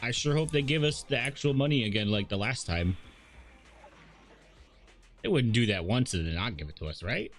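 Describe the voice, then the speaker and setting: A man's voice: mid-range voice, expressive, moderate pace, long pauses, faint music behind. A man livestreaming a video game with casual commentary, playful joking asides and bits of dialogue read aloud.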